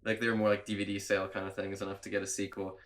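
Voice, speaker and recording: A man's voice: lowish voice, completely flat delivery, racing, a bit bright. A man hosting a casual podcast chat, fighting a cold and sounding a little nasal, talking loosely and laughing often. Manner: chatty, laughs often, rambling. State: has a cold. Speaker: podcast host.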